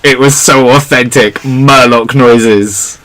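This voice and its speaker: A man's voice: mid-range voice, fairly even intonation, slow pace, fairly bright tone. A man hosting a casual pop culture podcast, talking like a keen fan with friends. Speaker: podcast host.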